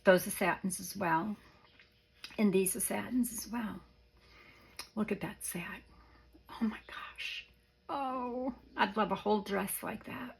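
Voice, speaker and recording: A middle-aged woman with a hoarse, gravelly voice, slow and unhurried as she admires ribbons and lace. Her tone is husky and soft, and she often dips into a near-whisper. It is a casual show-and-tell for crafters. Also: medium pitch, rough recording. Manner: soft-spoken, gushing, often drops to a whisper. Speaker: craft vlogger.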